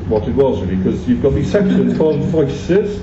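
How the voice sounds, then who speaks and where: Guy asking interview questions, stumbly, far off mic in a roomy space, muffled with loud background hum. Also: medium pitch, sing-song, moderate pace. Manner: hesitant, many restarts and fillers. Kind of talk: radio-style interview. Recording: echoey, distant, muffled, loud room noise.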